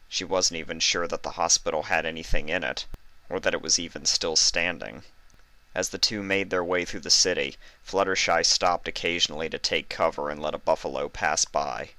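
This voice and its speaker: A man with a deep voice narrates a piece of fan fiction. His tone has a bright, forceful edge. The sound is close and very dry, as scripted reading. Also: dry recording.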